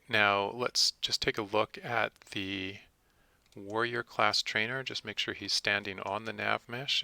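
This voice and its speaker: A man narrating a step-by-step software tutorial in a methodical, instructional manner. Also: fairly low pitch, vocal fry, clear, resonant tone, dry recording.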